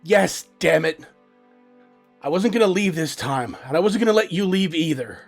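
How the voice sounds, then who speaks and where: Devoted stubborn lover, audio drama, blunt, emotional, insistent, slightly high male voice, faint music. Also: expressive, normal speed, creaky.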